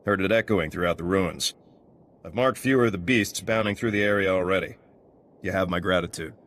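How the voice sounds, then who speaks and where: Gruff male ruins guard for a fantasy game, lowish voice, terse, dutiful, plain-spoken. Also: brisk, a bit bright. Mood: earnest, businesslike. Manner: terse, clipped sentences. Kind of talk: video game dialogue acting.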